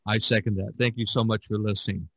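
A man hosting a podcast in casual conversation, talking in a folksy, rambling, halting way. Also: lowish voice, fairly even intonation, fairly bright tone, dry recording. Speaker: podcast host.

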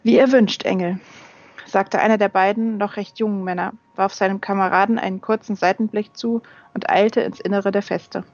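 A woman's voice: mid-range voice, little pitch movement, brisk. A woman reading a fantasy novel aloud as scripted audiobook narration. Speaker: audiobook reader. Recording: dull lo-fi sound, music behind. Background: music.

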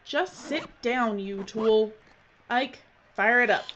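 A jaded female director, played by an actress, speaks in brusque, sarcastic film dialogue. Her voice sits a little high, with faint noise behind it. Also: slow, fairly bright tone, dull audio. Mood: bossy, impatient.

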